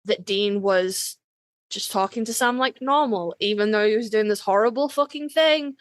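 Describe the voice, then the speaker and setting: A woman's voice: medium pitch, moderate pace, dry recording, studio quality. A woman hosting a podcast in casual, conversational chat. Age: adult, parent. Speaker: podcast host.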